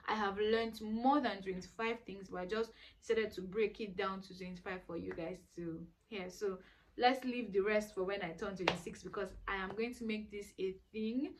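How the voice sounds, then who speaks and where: An earnest woman talks straight to her viewers in a casual vlog. Her pitch sits in an ordinary middle range. She preaches and repeats herself to drive each point home. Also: rough recording. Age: 20s. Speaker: vlogger. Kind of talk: casual advice monologue.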